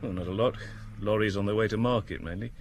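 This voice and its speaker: Low-voiced, affable garage man played by an actor. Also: fast, background noise, lightly acted. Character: affable, obliging male garage owner. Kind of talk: audio drama acting.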